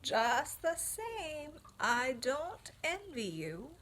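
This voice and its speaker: A woman's voice: high voice, big pitch swings, extremely slow, a bit bright, voice pushed up, heavily acted. A woman voicing an old female sheep who delivers bad news bluntly and matter-of-factly.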